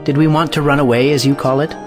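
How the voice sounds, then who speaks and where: A man's voice: fairly high pitch, steady tone, quick pace, music in the background, natural voice. Indignant, declamatory idealist scientist played by a man. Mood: indignant, scornful. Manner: declamatory, rhetorical questioning. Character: indignant idealistic scientist, scornful of married people. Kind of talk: audio play acting.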